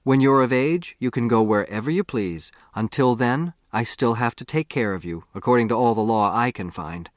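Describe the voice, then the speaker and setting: A proud mother of a big family, read by a man in an audiobook, firm yet loving. The voice sits at a medium male pitch and is recorded very dry. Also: moderate pace, few pauses, dry recording, close to an everyday voice.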